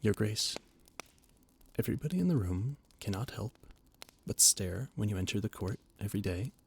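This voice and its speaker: A man's voice: fairly low pitch, lively intonation, normal speed, vocal fry, darkish tone, slight background noise. A man voice-acting a flustered, formal royal adviser in an audio roleplay, often stammering. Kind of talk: audio roleplay, scripted monologue.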